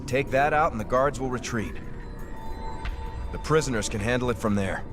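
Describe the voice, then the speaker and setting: An actor playing a dutiful military second-in-command in a video game, formal and earnest. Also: male voice, mid-range voice, normal speed, over music, close to an everyday voice. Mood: briefing. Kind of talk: dramatic video game acting.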